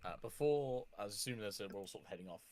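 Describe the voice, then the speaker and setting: A man takes part in a tabletop role-playing game. His voice is quite deep, and he talks casually as himself and his character over a faintly noisy recording. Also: lively intonation, quick pace, darkish tone. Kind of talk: casual role-play chat, speaking in character.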